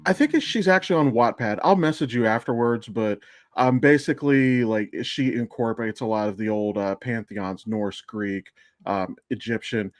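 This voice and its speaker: A man's voice: mid-range voice, no room sound, clean studio sound. A man chatting casually as a podcast guest or co-host, telling a story in a rambling, digressive way. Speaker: podcast co-host and amateur voice actor. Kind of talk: casual chat.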